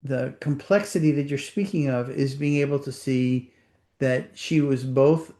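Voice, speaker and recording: An older author with a Puerto Rican accent, answering interview questions in a thoughtful, measured way. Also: male voice, mid-range voice, mellow. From Puerto Rico. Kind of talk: reflective conversation.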